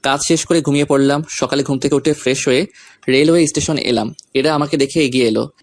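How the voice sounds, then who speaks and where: A man's voice: mid-range voice, steady tone, quick pace. A man reading a scripted first-person story, narrating events and speaking the lead character's lines.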